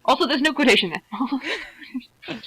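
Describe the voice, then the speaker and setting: A woman's voice: high-pitched, expressive, slow, fairly bright tone. A woman guest reader chatting casually, questioning the script in a playfully pedantic way. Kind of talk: casual chat and amateur read-aloud.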